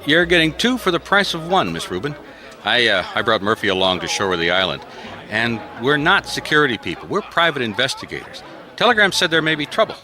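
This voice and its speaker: An actor playing a plain-spoken, wry private detective in a radio mystery, dry and straight-talking. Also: male voice, mid-range voice, sing-song, a little fast, sharp tone, some chatter behind. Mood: wry, businesslike. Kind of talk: old-time radio mystery acting.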